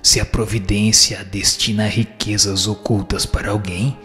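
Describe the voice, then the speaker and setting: A man's voice: fairly low pitch, level tone, measured pace, bright, forceful tone. A man reading scripted answers in a formal, didactic manner. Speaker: reader of the answers. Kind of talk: scripted reading of a spiritist text. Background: music.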